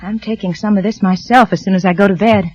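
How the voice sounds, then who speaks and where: A woman's voice: mid-range voice, fairly even intonation, fast, a little mellow, husky, dry recording, close to an everyday voice. A woman acting an anxious, secretive wife in a 1940s radio suspense drama, stammering, pleading and evasive. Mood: falsely soothing. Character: anxious, secretive wife hiding a troubling compulsion. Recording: dull old radio recording, faint music.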